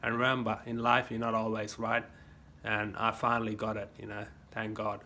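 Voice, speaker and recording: Australian male vlogger, phone mic, tense bright tone, loose rambling delivery. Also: on the low side, completely flat delivery, normal speed, slight background noise. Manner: rambling, folksy advice with many fillers and restarts. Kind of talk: casual rambling monologue to a phone camera. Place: home gym. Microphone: phone camera.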